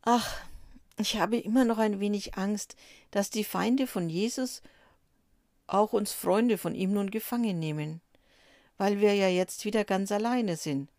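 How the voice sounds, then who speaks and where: A woman voicing a frightened woman disciple who passes on secret news in a hushed, urgent, whispery voice. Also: mid-range voice, measured pace, no echo, studio quality, lightly acted. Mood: anxious. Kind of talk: storybook character voice.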